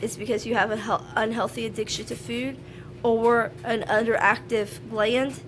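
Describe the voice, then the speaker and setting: Chatty nasal woman w a sinus infection, kinda high voice, faint noise in the background at home. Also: fairly even intonation, moderate pace, fairly bright tone. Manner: frank, chatty, opinionated. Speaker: vlogger. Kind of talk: casual talk to camera. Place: at home, laundry running.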